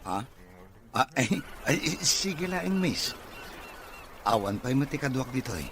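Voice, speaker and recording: A man's voice: mid-range voice, very animated, measured pace, noisy background. A man playing a bashful, hesitant bachelor son in an audio drama. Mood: bashful, pleased. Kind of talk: Ilocano audio drama acting.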